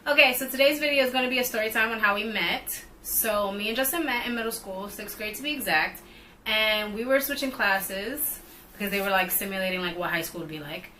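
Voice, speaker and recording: A woman's voice: mid-range voice, fairly quick, a little hiss or hum, low-quality recording. A young woman co-hosting a couple's vlog, telling a storytime casually and animatedly, with lots of "like". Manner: animated, heavy use of "like", rising excitement. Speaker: vlog co-host.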